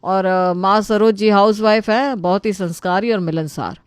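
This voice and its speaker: A woman's voice: medium pitch, normal speed, clear, resonant tone, no echo, studio quality, lightly acted. A woman voicing an anxious, deferential relative in a Hindi story, with a polite, pleading manner. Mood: eager, respectful. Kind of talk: Hindi storybook character acting.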